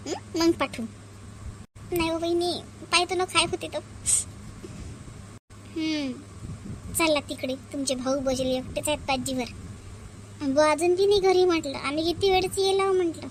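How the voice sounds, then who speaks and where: A woman's voice: very high voice, a little hiss or hum. A woman voicing a village sister-in-law in a family cartoon, with a rural Vidarbha Marathi accent and an emphatic, plaintive, repetitive manner. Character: two village sisters-in-law, aggrieved young wife and protective visitor. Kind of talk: melodramatic cartoon family dialogue.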